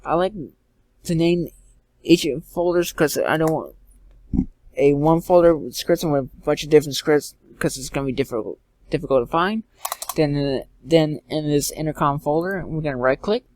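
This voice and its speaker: A man giving an informal step-by-step software tutorial in a halting way, repeating and correcting himself as he goes. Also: fairly high pitch. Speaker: tutorial presenter. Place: indoors, screen-recording voice-over.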